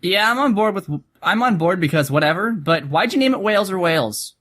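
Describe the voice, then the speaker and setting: A man's voice: high-pitched, expressive, brisk, a bit bright. A man co-hosting a podcast, narrating a comic skit and chatting casually with dry humor. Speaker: podcast co-host.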